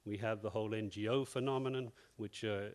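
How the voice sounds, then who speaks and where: A male researcher making a measured, reflective comment from the floor in a panel discussion. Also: lowish voice, steady tone, a little mellow. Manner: measured, reflective, wondering aloud. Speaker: researcher at a conference.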